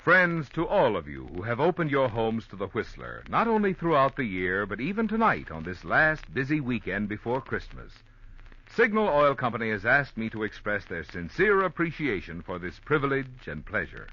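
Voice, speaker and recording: A man's voice: mid-range voice, huge pitch swings, moderate pace, pushed and bright. An American man announcing for a sponsor in formal, polished 1940s radio style. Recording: muffled old radio recording.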